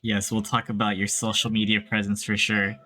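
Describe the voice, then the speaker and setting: A man hosting a casual livestream podcast, speaking in a relaxed way with friendly slang. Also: fairly low pitch, fairly quick, faint music behind. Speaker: podcast host.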